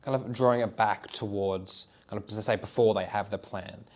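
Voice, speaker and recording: A woman's voice: very deep voice, fairly even intonation, fairly quick, a little mellow. A woman hosting a podcast, asking questions in a friendly way with brief encouraging replies. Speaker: podcast host. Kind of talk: casual podcast interview.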